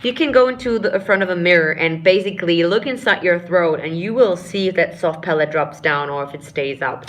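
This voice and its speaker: A woman's voice: fairly low pitch, brisk, few pauses, slight background noise. A woman vocal coach giving a live-streamed singing lesson, explaining technique, answering viewer questions and demonstrating sounds herself. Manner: explanatory, demonstrates sounds and short sung phrases while teaching; addresses viewers warmly as friends and dears.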